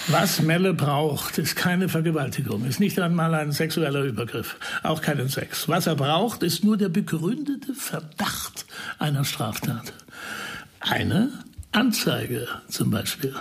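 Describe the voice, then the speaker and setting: A man acting in a radio crime drama as a shrewd investigator who speaks deliberately and persuasively, with a husky, creaky voice. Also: on the high side, very animated, heavily acted. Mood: deliberate, explaining. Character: shrewd male investigator explaining a dismissal intrigue to a friend.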